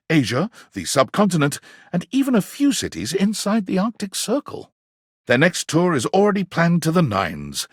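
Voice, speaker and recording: A worldly, flamboyant storyteller for a video game. His voice is husky and swings widely in pitch as he savors each florid phrase with arch, pompous wit. The sound is dry, with no room on it. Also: dry recording, full character voice. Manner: dramatic, pompous, savoring words with deliberate pauses. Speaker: voice actor, narrator of game story. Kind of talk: theatrical scripted narration.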